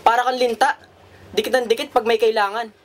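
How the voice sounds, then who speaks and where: A man's voice: very high voice, level tone, fairly quick. A man acting a defensive, aggrieved migrant-worker husband in a radio drama. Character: defensive, aggrieved husband back from working abroad. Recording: noisy amateur radio drama recording.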